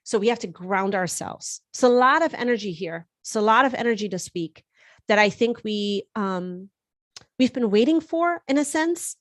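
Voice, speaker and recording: A woman's voice: mid-range voice, lively intonation, moderate pace, a bit bright, dry recording, studio quality. A woman from Germany whose first language is German, hosting a live astrology forecast in a conversational way with frequent fillers and restarts. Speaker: astrologer host.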